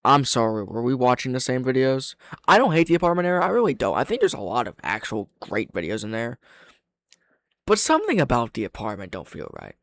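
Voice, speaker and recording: Male reaction host: average pitch, dry close sound, casual, opinionated, sweary. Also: very animated, fairly quick, fairly bright tone, no room sound.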